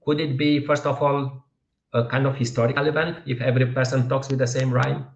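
A man with a Romanian accent hosting an interview over a video call, speaking haltingly as he searches for words. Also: mid-range voice, fairly even intonation, creaky. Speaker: podcast host. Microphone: headset on video call. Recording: dull, roomy video-call audio.

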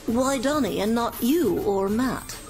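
A woman's voice: on the high side, expressive, slow pace, a little mellow, soft background music. A woman playing a composed, inquisitive TV interviewer in a video game cutscene.

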